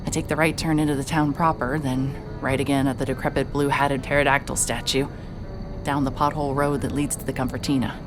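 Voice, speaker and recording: Low-voiced female private eye. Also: little pitch movement, a little fast, over music. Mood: brooding, tense. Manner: hesitant, stammering in dialogue, brooding in narration. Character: dogged, awkward female private investigator narrating her case. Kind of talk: cinematic audio drama acting and noir narration.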